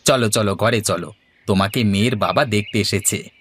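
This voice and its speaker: A man's voice: on the low side, moderate pace, fairly bright tone, slight background noise, lightly acted. A man playing a villager who acts as a persuasive matchmaker for his friend in a cartoon. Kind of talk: Bengali moral story cartoon dialogue.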